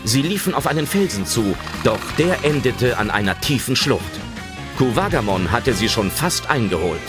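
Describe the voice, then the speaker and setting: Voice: mid-range voice, big pitch swings, normal speed, loud music behind the voice, lightly acted. A narrator telling a children's adventure audio drama in dramatic scripted narration.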